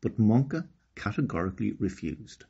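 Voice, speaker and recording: An Irish man reading a children's story aloud in an expressive, deliberate storybook delivery. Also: on the low side, gravelly, husky. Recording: slightly dull lo-fi recording.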